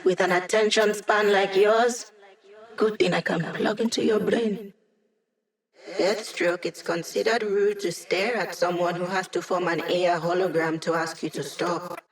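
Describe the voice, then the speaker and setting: A woman's voice: mid-range voice, a little slow, vocal fry. An actress voicing a witty young female hacker in a video game, a digitized mind who helps a squad with breezy, wry, techy teasing. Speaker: mission support character in a video game.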